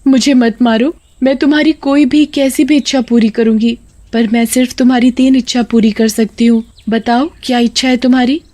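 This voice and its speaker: A woman's voice: fairly high pitch, steady tone, moderate pace, dark tone, natural voice. Woman voicing an earnest magical golden fish. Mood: granting wishes. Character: magical female golden fish, pleading, wish-granting, easily hurt. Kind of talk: Hindi children's cartoon story dub.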